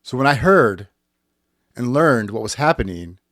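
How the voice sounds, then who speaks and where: A man hosts a political podcast in a blunt, furious tone, swearing freely as he talks. His pitch sits in the middle range, and the close mic sounds dry, with no room around it. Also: sing-song, measured pace, fairly bright tone, no room sound. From United States. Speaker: podcast host. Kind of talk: impassioned political commentary.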